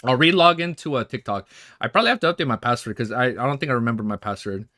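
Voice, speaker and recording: A man's voice: mid-range voice, lively intonation, sharp tone, dry recording, clean studio sound. Rambling young man streaming. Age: 20s. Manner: rambling, swearing, quick to laugh. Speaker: livestream host. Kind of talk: casual livestream chat and reading aloud.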